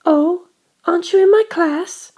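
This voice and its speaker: A woman's voice: extremely high pitch, fairly even intonation, measured pace, darkish tone, pitched above the performer's own voice. A woman voicing a vain, sulky young aristocratic schoolgirl in an audiobook, bossy and indignant. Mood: prim. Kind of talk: audiobook character voice.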